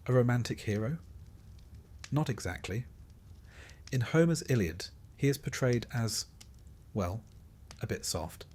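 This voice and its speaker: A man reading a scripted sleep-story narration in measured, deliberate phrases, with dry, wry understatement. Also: mid-range voice, animated, darkish tone, slight background noise.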